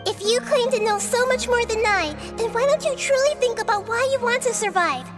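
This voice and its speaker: Childlike nature goddess for a video game: very high pitch, wise, gentle, earnest and measured. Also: female voice, over music. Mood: firm, pleading.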